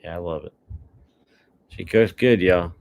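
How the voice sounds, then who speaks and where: A man's voice: sits low, a little slow. Laid-back man in his forties. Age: 40s. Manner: relaxed, repeats words as he tells stories. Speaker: co-host of a family homestead channel. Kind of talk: casual live Q&A chat.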